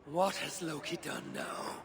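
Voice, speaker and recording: An actor playing a vengeful, weary Norse father god in a video game, speaking gravely and deliberately with a gravelly voice. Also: on the high side, fairly even intonation, a bit bright, long reverb tail. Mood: grim, commanding. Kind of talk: dramatic cinematic video game acting.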